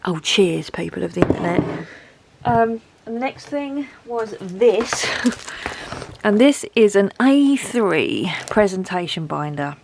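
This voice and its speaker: A woman's voice: medium pitch, animated, slow pace, gravelly, noisy background. A woman with a head cold and a dry throat, chatting in a rambling, self-deprecating way as she shows off a craft haul at home. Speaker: craft vlogger showing a haul. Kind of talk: casual chat to camera.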